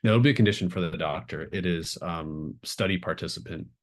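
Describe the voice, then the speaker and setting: A man's voice: low-pitched, brisk. A man narrating a tabletop game as game master, improvising hesitantly and searching for words.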